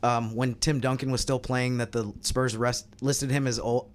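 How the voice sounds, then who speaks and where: Male sports podcast co-host from New York, mid-pitched, wry and conversational. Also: little pitch movement, moderate pace, a little hiss or hum. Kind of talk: casual sports roundtable chat.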